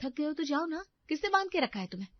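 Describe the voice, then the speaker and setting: A woman's voice: high-pitched, sing-song, pitched above the performer's own voice. A woman voicing a frustrated young mother who argues sharply with her husband, in a storybook. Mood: bitter. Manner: sharp, rushed complaining. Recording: dull dry narration track.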